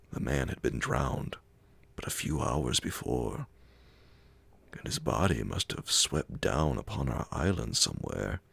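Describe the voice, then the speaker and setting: A man reading classic horror fiction as an ASMR audiobook, in a hushed, measured, breathy and gravelly voice. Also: rumbling low, no room sound. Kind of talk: ASMR audiobook scripted narration.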